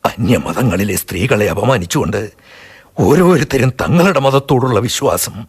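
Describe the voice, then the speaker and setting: A man playing a sober older Sikh man in a radio play, peace-minded and grave. His husky voice moves widely in pitch, lifting and falling as he speaks. He talks in a slow, measured way. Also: expressive. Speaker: narrator and Chani Singh, a Sikh elder. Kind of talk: Malayalam radio drama acting and narration.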